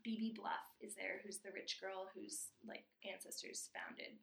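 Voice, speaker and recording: Bright, chatty woman podcaster. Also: mid-range voice, flat delivery, a little slow, vocal fry, roomy sound. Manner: laughs often, chatty, drops to a near whisper at times. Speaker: podcast co-host. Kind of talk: casual chat recapping a cartoon episode.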